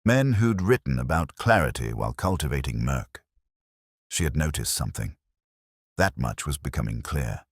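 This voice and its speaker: A man reading a scripted first-person detective story in a measured, literary, brooding manner. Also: extremely low pitch, expressive, slightly rough, dry recording, studio quality. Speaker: narrator.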